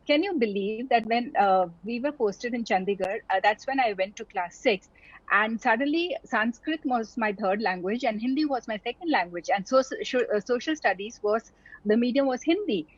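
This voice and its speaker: Middle-aged female doctor from India, earnest and halting, with a slightly high voice. Dry, lo-fi recording. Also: expressive, breathy edge, dry recording. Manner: earnest, many fillers and restarts. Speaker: doctor, interview guest. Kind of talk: reflective interview conversation. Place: online town hall talk. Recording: dull, lo-fi audio.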